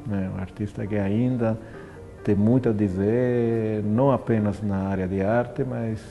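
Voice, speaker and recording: A man's voice: fairly low pitch, steady tone, unhurried, vocal fry, lo-fi sound, soft background music. A man talking reflectively in an interview.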